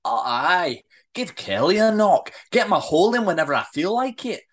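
Feisty Scottish woman for a drama read-through, acted by a woman, indignant, plain-spoken and sharp-tongued. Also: very deep voice, very animated, moderate pace, breathy edge, heavily acted. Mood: angry ranting. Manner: blunt, scolding, colloquial. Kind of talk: amateur script read-through, acted dialogue.

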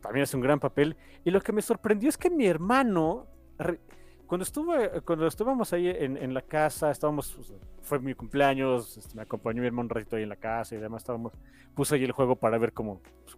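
A man's voice: mid-range voice, animated, fast, a little mellow, faint music behind. A man with a Mexican accent hosting a podcast, chatting casually in an enthusiastic, rambling way. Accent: Mexican Spanish. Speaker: podcast host.

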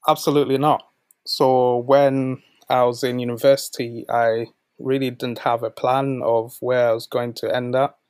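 A man's voice: mid-range voice, flat delivery, normal speed, a little mellow, smooth, no room sound. A man in his late twenties from Zambia who moved to the UK as a boy, answering interview questions conversationally. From born in Zambia, moved to the UK at twelve. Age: late 20s. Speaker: podcast guest, volunteer manager.

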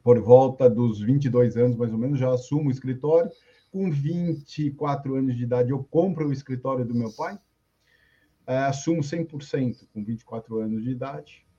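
Man, 50s, guest coach: dark mellow husky voice, relaxed storyteller, dull lo-fi stream audio. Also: medium pitch, moderate pace. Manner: easygoing storytelling with fillers. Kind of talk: casual interview on a live stream.

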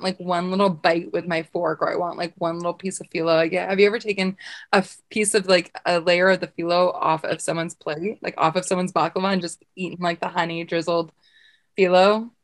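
A young adult woman co-hosting a podcast in casual chat, rambling and laughing often. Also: on the low side. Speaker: podcast co-host.

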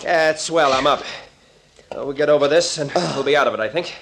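Brisk American radio adventure captain. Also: male voice, fairly high pitch, creaky, fairly bright tone. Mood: brisk, straining. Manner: clipped, urgent, no-nonsense, colloquial. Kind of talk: 1940s radio serial acting. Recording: noisy old-time radio recording.

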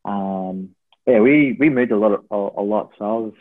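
An Australian man in his twenties speaks in a husky, fairly low voice. His delivery is casual and a bit rambling, like a guest in a relaxed interview. He comes through a narrow, phone-like connection. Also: moderate pace. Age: 20s. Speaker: podcast guest, university student. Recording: thin phone-like line with room echo.

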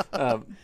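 A middle-aged man and podcast host, telling stories in a relaxed conversational way, with lots of fillers and animated swings in emphasis. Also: high-pitched. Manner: animated storyteller, many fillers.

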